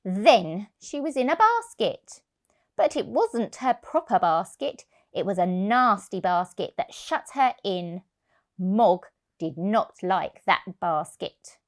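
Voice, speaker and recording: A woman reading a picture book to young children, expressive and deliberate, stressing key words. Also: medium pitch. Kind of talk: storybook narration for young children.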